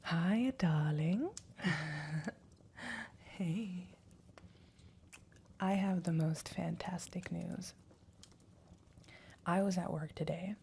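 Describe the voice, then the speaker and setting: A young woman speaking tenderly in a soft whisper, as in an ASMR roleplay. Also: fairly low pitch, vocal fry, slight background noise. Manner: tender, affectionate. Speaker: roleplay partner.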